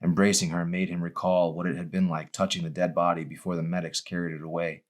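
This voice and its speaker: A man's voice: sits low, level tone, fast. A man reading his own novel aloud as a dramatic audiobook narration.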